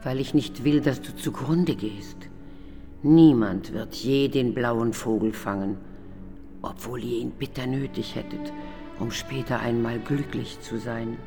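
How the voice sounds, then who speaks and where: A woman's voice: very deep voice, music in the background. An actress playing the Night, a secretive, motherly guardian spirit who speaks solemnly and pleads in warning in a radio play. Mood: grave pleading. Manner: slow, solemn, pleading and admonishing. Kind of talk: German radio play acting.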